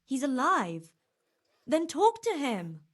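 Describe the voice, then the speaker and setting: Slow-spoken, weary medieval nurse. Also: female voice, sits high, huge pitch swings, fairly bright tone, full character voice. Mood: grave. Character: weary, stern, devoted young medieval woman nursing the sick. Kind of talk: video game cutscene dialogue.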